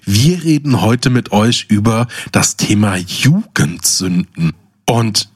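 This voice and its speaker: A man's voice: lowish voice, lively intonation, slow pace, husky. A man in his thirties from southwestern Germany, co-hosting a podcast in casual chat with mock-formal introductions. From Baden-Württemberg, Germany. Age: 30s. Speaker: podcast co-host.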